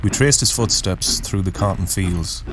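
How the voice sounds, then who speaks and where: Very deep-voiced man narrating solemnly. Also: noisy background. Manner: solemn, poetic, deliberate. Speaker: narrator, host of a story series. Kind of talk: scripted dramatic storytelling narration. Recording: music bed under the voice. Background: music.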